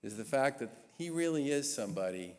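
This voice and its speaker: A man in his sixties delivers an earnest gala introduction at a podium mic, leaning into key phrases and pausing between them. His mid-pitched voice carries a long reverb from a large church hall. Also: animated. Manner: earnest, emphatic speaking with measured pauses. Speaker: state governor introducing an award honoree. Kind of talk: formal introduction speech at a gala.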